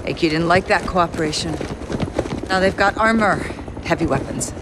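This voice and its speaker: An actor playing a brisk, authoritative intelligence case officer in a video game, with businesslike delivery. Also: medium pitch, lightly acted. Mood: businesslike, urgent. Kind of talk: video game cutscene acting. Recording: outdoor airfield ambience noise.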